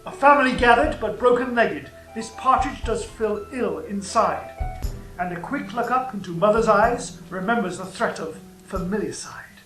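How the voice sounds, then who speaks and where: A man's voice: high-pitched, expressive, normal speed, sharp tone, roomy sound, soft background music, rough recording. An actor voicing a wry young royal poet who narrates his tale in rhyme. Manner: rhyming, wry.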